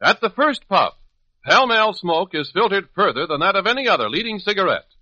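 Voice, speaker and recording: Bright, forceful vintage radio announcer man. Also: high voice, big pitch swings, a little fast. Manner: emphatic, polished sales delivery. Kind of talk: 1950s radio advertising announcing. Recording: dull old radio recording.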